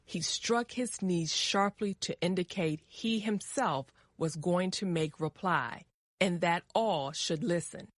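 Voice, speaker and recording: A woman narrating a scripted story for English learners, measured and carefully articulated. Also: fairly low pitch, no room sound, studio quality.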